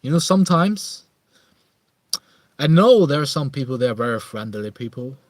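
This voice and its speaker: A man from northeast China with a Chinese accent chats casually as a livestream host, musing haltingly and often repeating his phrases. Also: mid-range voice, very animated, no echo, studio quality. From Harbin, northeast China. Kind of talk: casual live chat monologue.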